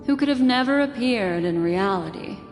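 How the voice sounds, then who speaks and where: An actress voicing a calm, alluring woman who speaks with a teasing, playful tone in a game. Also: medium pitch, moderate pace, natural voice. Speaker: game character. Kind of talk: voiced game dialogue. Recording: game audio with long reverb and music.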